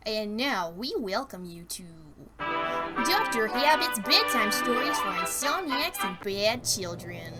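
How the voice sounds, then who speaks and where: A woman voicing a creepy, controlling doctor who tells bedtime stories to a child in a slow, hushed, taunting, menacing way. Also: a little high, big pitch swings, over loud music, low-quality recording. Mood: hushed menace. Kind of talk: horror bedtime story narration.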